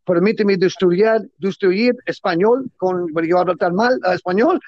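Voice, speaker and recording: A middle-aged man who is not a native Spanish speaker gives closing remarks as a guest, talking with eager enthusiasm in halting Spanish full of grammar slips. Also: high-pitched, darkish tone, no room sound, highs cut off. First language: not Spanish; Spanish is his second or third language. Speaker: guest, cigar businessman. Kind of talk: closing remarks in a casual interview.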